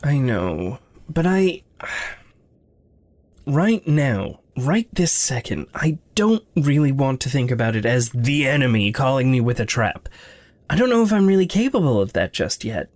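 An actor playing a gentle, guilt-ridden young man, halting and introspective, in a naturalistic audio drama. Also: mid-range voice, very animated, no echo. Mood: halting, reluctant. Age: young adult.

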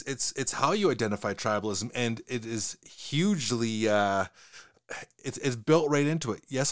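A man with a Western Canadian accent, talking fast in casual conversation and often repeating his words. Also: mid-range voice, no room sound, studio quality.

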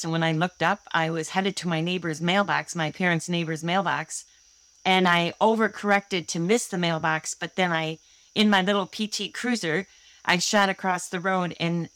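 An older woman in her sixties, a guest from Michigan, tells her story in an earnest, open way. Her voice sits fairly low for a woman and comes across dry and close, with no room sound around it. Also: fairly bright tone, no echo. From Holland, Michigan, USA. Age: 60s. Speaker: guest inventor. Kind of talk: casual interview storytelling.

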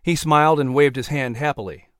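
A man giving scripted, measured storytelling narration for an audio drama. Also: fairly high pitch, smooth, no echo. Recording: dry studio voice.

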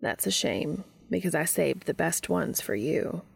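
A woman voicing an adult man in an audio fiction reading, a quietly pleased lover who teases politely in slightly formal phrasing. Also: deep voice, lively intonation, measured pace, vocal fry, slightly rough, heavily acted. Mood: teasing.

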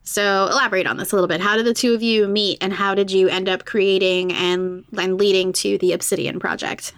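A woman's voice: mid-range voice, fairly even intonation, a little fast, no echo. A woman hosting a podcast interview, putting questions to her guests in a conversational way.

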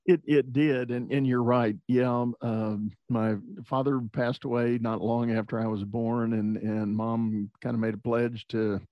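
A man's voice: medium pitch, fairly even intonation, a little slow, few pauses, no echo. A middle-aged man from Texas, a podcast guest, talking reflectively about his upbringing with many fillers and restarts. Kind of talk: conversational interview. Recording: dull lo-fi dry sound.

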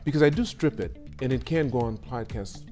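Fast chatty middle-aged host guy, rambles and corrects himself a lot. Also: mid-range voice, dark tone, soft background music. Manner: chatty and rambling, with self-corrections and quick follow-up questions. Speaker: podcast host. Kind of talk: casual interview chat.

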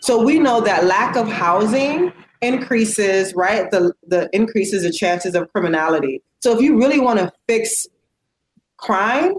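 A woman's voice: mid-range voice, expressive, unhurried, roomy sound, rough recording. A woman speaking in casual conversation, earnest and outspoken, with lots of fillers. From United States.

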